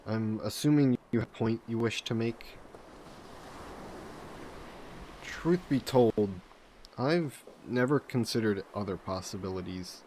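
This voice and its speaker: Low-voiced menacing, flirty sea monster played by a man. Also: darkish tone, a little hiss or hum, close to an everyday voice. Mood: cool menace. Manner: measured, formal, coolly threatening wording. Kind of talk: scripted roleplay audio drama, intimate monologue.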